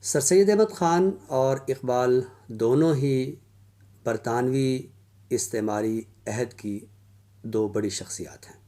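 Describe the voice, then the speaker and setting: A man giving a scholarly literary lecture in a formal, deliberate manner with pauses. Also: mid-range voice, dark tone.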